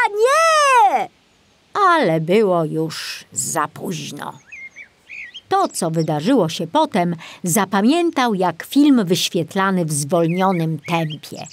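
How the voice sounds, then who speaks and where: Animated woman narrating a children's story. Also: fairly low pitch, slow pace. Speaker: audiobook narrator.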